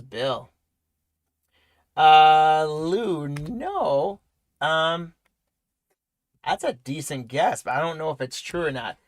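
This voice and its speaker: A man hosting a live trading card break, rattling off player names and congratulating viewers, his voice going hoarse. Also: a little high, no room sound. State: voice weakening, losing it.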